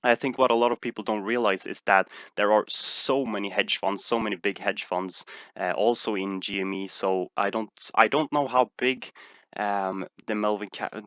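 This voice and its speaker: A man with a Swedish accent talks in a low, forceful voice, hesitating often and restarting his sentences. The sound is dry and close. He answers questions in a casual livestream. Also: no room sound. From Sweden. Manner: hesitant, many "uh" fillers and restarts. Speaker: stock market streamer.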